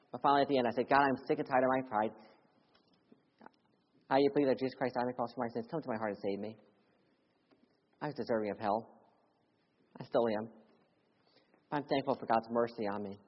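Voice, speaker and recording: A young man from Philadelphia preaching a sermon as a missionary, mixing personal testimony with earnest appeals, his voice rising loud and urgent, then dropping to hushed lows. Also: breathy edge. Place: church sanctuary. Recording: dull audio with long church reverb.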